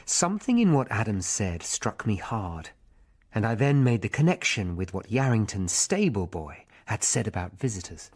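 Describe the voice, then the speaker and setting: Low-voiced, sober Tudor narrator. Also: male voice, very animated, normal speed, slightly rough. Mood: reflective. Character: sober male Tudor lawyer recounting his investigation. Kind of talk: first-person dramatised narration.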